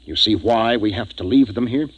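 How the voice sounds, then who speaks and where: Actor as low-voiced, grave 1950s radio spaceship captain. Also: male voice, normal speed, close to an everyday voice. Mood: grim. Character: grave, decisive spaceship captain who narrates the tale. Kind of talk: 1950s radio drama acting and narration. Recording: dull 1950s radio recording, music behind.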